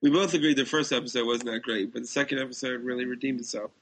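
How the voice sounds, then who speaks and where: A man's voice: medium pitch, steady tone, quick pace. A man co-hosting a casual podcast, giving opinions in a blunt, dismissive way. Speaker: podcast co-host. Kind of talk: casual opinionated review chat.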